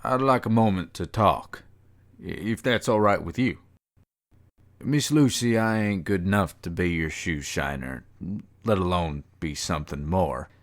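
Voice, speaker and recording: A man's voice: low-pitched, unhurried, no room sound, studio quality. A man playing an earnest, humble cowboy suitor, folksy and courteous, in an audio drama. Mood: hopeful, bashful.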